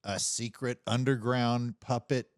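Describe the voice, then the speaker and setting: A man's voice: medium pitch, flat delivery, unhurried, clear, resonant tone, no echo, studio quality. A man chatting casually about politics on a livestream, emphatic and exasperated, swearing often.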